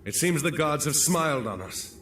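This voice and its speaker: An actor playing a brave, earnest admiral hero in a video game, forthright and heroic. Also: male voice, on the high side, lively intonation, moderate pace, fairly bright tone. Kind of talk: scripted video game cutscene acting. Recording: echoey, slightly distant, faint noise.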